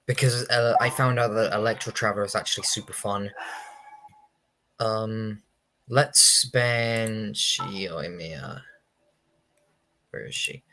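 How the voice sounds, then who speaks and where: A man's voice: medium pitch, a bit bright, a little hiss or hum. A young man giving casual, rambling, hesitant commentary on a game livestream. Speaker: game streamer. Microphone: streaming microphone, also picking up game audio. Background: faint game music.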